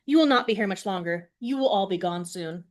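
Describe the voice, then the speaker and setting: Cold calm betrayer lady, low quiet chilling voice, woman reading her. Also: fairly quick, close to an everyday voice. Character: cold cruel former friend, middle-aged woman.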